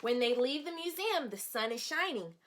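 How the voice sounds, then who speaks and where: A woman with a fairly high voice reads to young children as their teacher. She is expressive and explains things in simple words, often putting questions to her listeners. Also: normal speed, noisy background. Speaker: teacher reading a picture book aloud to young children. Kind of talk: read-aloud storytelling with questions to children.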